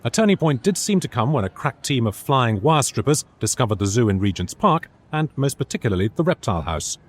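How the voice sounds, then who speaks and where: A man's voice: medium pitch, big pitch swings, a little fast. A man playing an earnest radio news presenter reporting live, with a British accent, in a comedy drama. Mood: earnest, measured.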